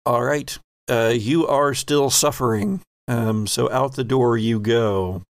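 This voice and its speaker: A man narrating a tabletop role-playing game as game master in a deliberate, descriptive way. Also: medium pitch, gravelly, dry recording.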